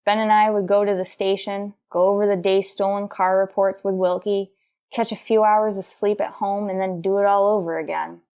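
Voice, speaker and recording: An actress as a female police sergeant in a vintage-style radio crime serial. She has a medium-pitched voice and narrates procedure in clipped, matter-of-fact phrases, all business. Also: level tone, normal speed, darkish tone, natural voice. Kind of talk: 1940s radio police drama acting, narration.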